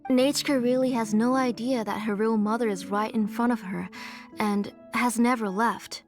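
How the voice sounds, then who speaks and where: A woman playing a gentle, sorrowful, devoted mother in a dramatic video game scene. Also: a little high, fairly even intonation, normal speed, soft background music, natural voice. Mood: grieving, resigned.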